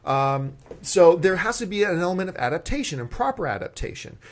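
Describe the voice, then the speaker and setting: Animated, higher-pitched male talk show host. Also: fairly quick. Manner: emphatic, repeats words for emphasis. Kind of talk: opinionated movie discussion, casual chat.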